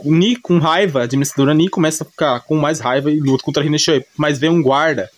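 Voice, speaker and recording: Man, Brazilian accent, somewhat high voice, casual anime recap, unscripted, slangy, rambling, frequent self-corrections. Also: fast talker.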